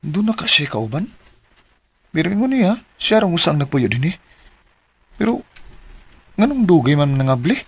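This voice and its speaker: An actor playing a casual, coaxing young suitor in a radio drama, with a Filipino accent. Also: male voice, fairly high pitch, very animated, moderate pace, pauses often, husky, full character voice. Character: smooth-talking young male suitor, casual and eager.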